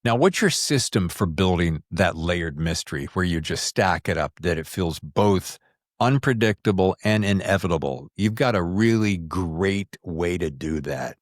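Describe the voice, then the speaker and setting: Chatty low-voiced man hosting a podcast. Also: lively intonation, a little slow, no echo, clean studio sound. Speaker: podcast host interviewing an author. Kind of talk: casual conversational interview.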